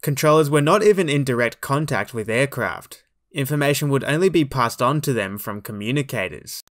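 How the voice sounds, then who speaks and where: Guy narrating a documentary, formal and measured, average pitch, really dry close mic. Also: fairly bright tone, no echo. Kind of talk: scripted narration.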